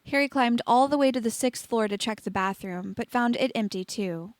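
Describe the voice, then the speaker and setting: A woman's voice: on the high side, moderate pace, dry recording. A woman reading scripted fiction aloud as a storyteller. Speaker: fan fiction reader.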